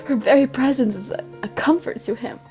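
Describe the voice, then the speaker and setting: A woman's voice: high voice, brisk, dark tone, faint music behind. A woman reading a game's story aloud in a dramatic style, often breaking off into casual, self-deprecating comments.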